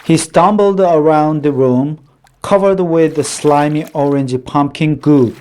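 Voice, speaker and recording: A man reading a children's picture book aloud in a deliberate, dramatic storytelling manner. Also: a little high, a little mellow.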